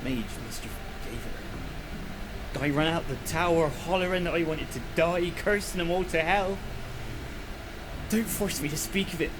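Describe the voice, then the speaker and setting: A man's voice: fairly high pitch, noisy background, natural voice. Grief-stricken young fisherman, played by a man. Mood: distraught confession. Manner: anguished, halting, rustic speech. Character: grief-stricken young village fisherman, a self-described lout. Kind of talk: dramatic video game acting. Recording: music behind the voice.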